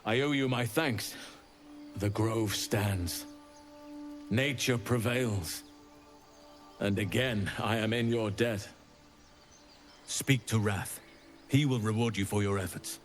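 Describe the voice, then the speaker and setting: An actor playing a solemn, authoritative archdruid in a fantasy video game, speaking in a measured, formal and stern way. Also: male voice, on the low side, faint music behind. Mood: calm, grateful.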